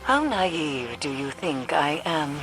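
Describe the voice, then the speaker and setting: A woman playing a stern, cryptic mystic in a video game, with grave, formal, deliberate phrasing. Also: low-pitched, big pitch swings, loud music behind the voice, full character voice. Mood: solemn, guarded.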